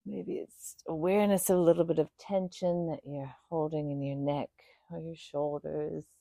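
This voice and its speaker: A woman guiding a meditation in a soothing, unhurried way, speaking in short phrases with pauses between them. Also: low voice, fairly even intonation, gravelly, warm tone, no echo, clean studio sound. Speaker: yoga nidra meditation guide. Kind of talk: guided meditation.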